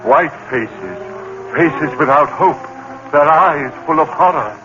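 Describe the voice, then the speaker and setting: A man's voice: fairly high pitch, animated, slow, sharp tone, soft background music, heavily acted. An actor playing an accused murderer, a genteel biology teacher, speaking his thoughts in 1930s radio drama style. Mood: brooding. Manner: brooding inner monologue, genteel and formal. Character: haunted male murder suspect, biology teacher. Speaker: lead actor. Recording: dull old radio broadcast with hiss.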